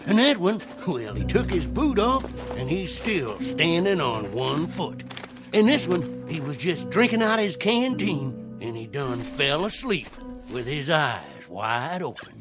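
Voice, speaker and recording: A man's voice: on the high side, very animated, unhurried, over music. An actor playing a sly, boastful old country man with a folksy Southern American drawl in an audio drama. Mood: gleeful, boasting. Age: older. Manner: folksy drawl, cackling, taunting. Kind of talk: old-time radio-style drama acting.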